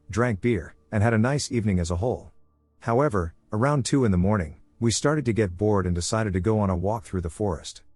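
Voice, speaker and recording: A man with a fairly low voice narrates horror stories in a steady, measured read. The sound is dry and close, with no room around it. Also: dry recording. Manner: steady, measured storytelling delivery.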